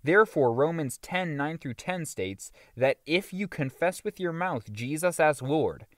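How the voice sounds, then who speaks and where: A man reading a religious audiobook as scripted narration, with emphatic, deliberate phrasing. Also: fairly high pitch, animated, dry recording, studio quality.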